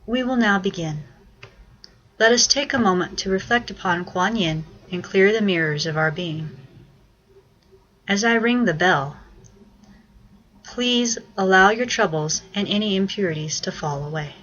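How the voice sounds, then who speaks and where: A woman leading a guided meditation with measured, instructive, prayerful narration. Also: mid-range voice, lively intonation, fairly bright tone, dull audio.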